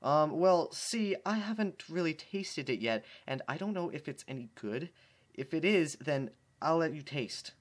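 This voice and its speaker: A man's voice: a little high, expressive, measured pace, voice pushed up. A man voicing a flustered, defensive female cartoon pegasus pony, evasive, in an amateur fan fiction reading. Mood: stammering, evasive.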